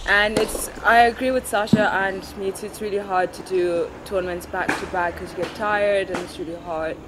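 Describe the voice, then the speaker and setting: A woman's voice: mid-range voice, fairly even intonation, moderate pace, noisy background. A teenage girl from Zimbabwe giving brief, plain answers as a guest in a court-side interview. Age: teens. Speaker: junior tennis player, guest. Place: outdoor tennis court.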